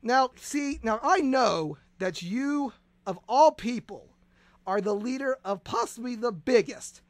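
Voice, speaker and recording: An excitable man with a high voice hosts a comedic radio show, tripping over restarts and bursting into shouts. He sounds close and dry, with no room around him. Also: a little slow, no room sound.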